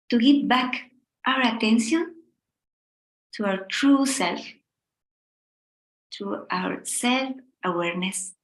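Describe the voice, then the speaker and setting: A middle-aged woman from Spain with a Spanish accent, gently leading a meditation talk in short, unhurried phrases with pauses. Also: fairly high pitch, reverberant, rough recording. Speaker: meditation teacher.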